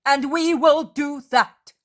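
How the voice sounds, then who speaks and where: A woman's voice: sits high, unhurried, pitched above the performer's own voice. A woman voicing a fierce, devout woman of faith who commands in a thundering voice. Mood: fervent. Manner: thundering, impassioned shouting.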